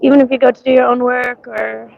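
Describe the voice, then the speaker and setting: A woman's voice: a little high, level tone, brisk, vocal fry, muffled recording. A woman with a mixed accent and a scratchy voice from coughing, livestreaming outdoors at a street parade and rambling with many ums. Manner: rambling, many ums, greets viewers by name. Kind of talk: casual livestream chat.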